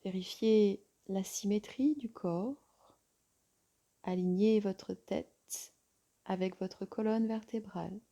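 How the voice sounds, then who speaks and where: Woman leading yoga nidra, super slow n soothing, mellow soft voice, lots of long pauses. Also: medium pitch. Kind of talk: guided relaxation meditation.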